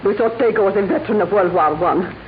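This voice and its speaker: A woman's voice: medium pitch, quick pace, natural voice. An actress playing a proud, defiant tavern keeper's wife and mother, in a 1940s radio drama. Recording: tinny old radio broadcast with hiss.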